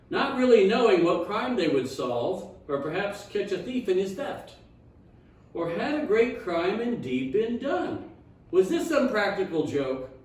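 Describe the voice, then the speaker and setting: A man reading a rhyming children's story aloud in a theatrical, expressive storytime style. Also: high-pitched. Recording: echoey room, distant mic.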